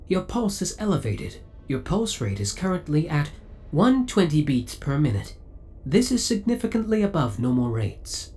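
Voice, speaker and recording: A man's voice: sits high, a little mellow. A man playing a devoted, possessive spaceship AI in an ASMR audio roleplay, speaking in a formal, courteous and precise way. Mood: concerned, attentive. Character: devoted, possessive male spaceship AI, politely obsessive.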